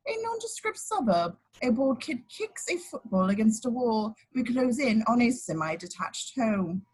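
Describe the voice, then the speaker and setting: Husky, lively woman narrator, higher-pitched. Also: normal speed, poor audio. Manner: stumbles over words and corrects herself. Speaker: narrator of stage directions. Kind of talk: scripted narration at an informal table read.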